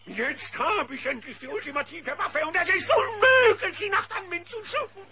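Cartoon villain duke, very high voice, pompous, bossy, would-be conqueror, noisy backdrop. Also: male voice, lively intonation, normal speed. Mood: whining. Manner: pompous, barking orders. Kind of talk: cartoon audio drama acting. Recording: loud background noise.

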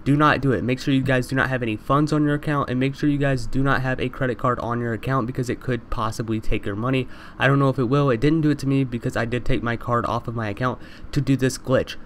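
Fast-talking congested young man. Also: medium pitch, slight background noise. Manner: repetitive, addresses viewers constantly as "you guys". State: congested from allergies. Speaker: gaming YouTuber. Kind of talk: fast voice-over over gameplay.

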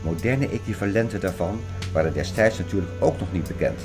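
A man acting as an earnest, conspiracy-minded scholar in an audio drama. His voice is low and he lectures insistently, explaining point by point. Music runs clearly underneath. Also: lively intonation, fairly quick. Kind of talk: scripted audio drama dialogue.

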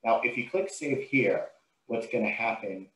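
A man giving an informal, step-by-step software tutorial as a trainer, explaining what he does on screen. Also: mid-range voice, normal speed. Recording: roomy, echoing sound.

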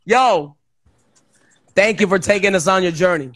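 A man in his thirties hosting a livestream in casual, animated talk, close to a studio mic in a small room. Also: high voice, normal speed, no room sound. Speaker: livestream show host.

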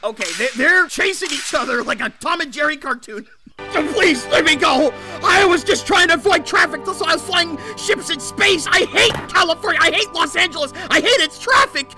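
A man's voice: extremely high pitch, expressive, moderate pace, over music. A man playing a bombastic, petty middle-aged mad scientist villain in an improvised comedic cartoon fandub, ranting theatrically and swearing. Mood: scornful, then whining, furious. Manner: ranting, theatrical, foul-mouthed.

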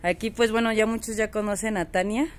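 A woman's voice: medium pitch, steady tone, fairly quick. A woman, chatting to viewers from an outdoor patio on a phone camera. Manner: chatty and affectionate, talks to viewers. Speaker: animal rescue vlogger. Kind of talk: casual narration on camera.

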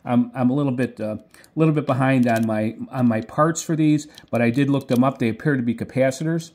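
A middle-aged or older man hosting a hobby video, explaining casually off the cuff in a halting, tentative way with frequent self-corrections. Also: medium pitch, a little mellow. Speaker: video host, radio hobbyist. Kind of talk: casual product talk, unscripted explaining.

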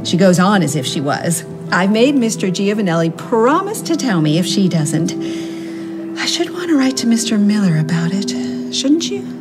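A woman's voice: mid-range voice, sing-song, normal speed, close to an everyday voice. An actress playing a worried, gossipy middle-aged American mother who rambles on in a radio drama. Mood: fretful, chatty. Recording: music under the dialogue.

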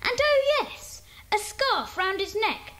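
A woman's voice: very high voice, big pitch swings, slow, sharp tone, full character voice. A woman playing an earnest, observant schoolboy in a children's club. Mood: eager, recalling.

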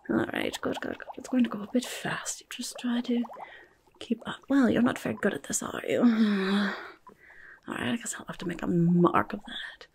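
A woman voicing a rude, impatient goblin clinic helper in a whispery, creaky ASMR roleplay, muttering briskly and sarcastically up close. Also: medium pitch, steady tone, natural voice. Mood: busy, muttering, then sarcastic, impatient. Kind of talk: ASMR roleplay, chaotic medical exam. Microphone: close-up microphone.

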